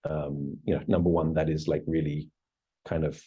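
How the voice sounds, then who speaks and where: A man in his forties talks through his experience as a founder. His voice is husky and low. He pauses often, piling up fillers and restarting sentences as he reflects. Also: level tone, lo-fi sound. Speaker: startup CEO guest. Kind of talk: interview answers.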